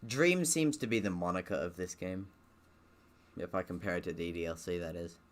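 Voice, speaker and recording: A man's voice: deep voice, normal speed. A man doing let's play commentary, reading visual novel text aloud and breaking off for sarcastic, grumbling asides. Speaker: streamer playing and reading a game aloud.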